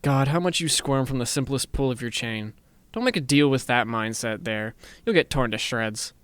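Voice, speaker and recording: A man's voice: medium pitch, moderate pace, fairly bright tone, clear, resonant tone, no echo, natural voice. A man playing a smug, teasing, intimidating office boss who talks in a chatty, self-satisfied way in an ASMR roleplay.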